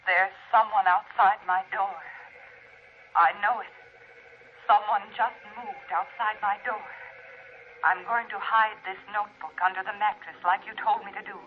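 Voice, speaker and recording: Frightened wife, thin tinny filter. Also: female voice, mid-range voice, fairly even intonation, moderate pace. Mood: fearful. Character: frightened sickly wife fearing for her life. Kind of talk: 1940s radio drama acting. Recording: tinny, noisy old radio recording.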